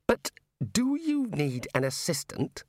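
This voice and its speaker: A man's voice: high voice, sing-song, slow, a little mellow, dry recording, voice pushed up, heavily acted. A man doing a gloomy, put-upon young wizard. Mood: weary exasperated. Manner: weary, exasperated explaining.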